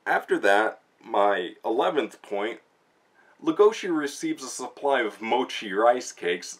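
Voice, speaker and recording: Low-voiced man in a casual review. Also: fairly bright tone. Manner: informal slangy retelling. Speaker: manga reviewer, host. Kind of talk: casual spoken book review with plot recap.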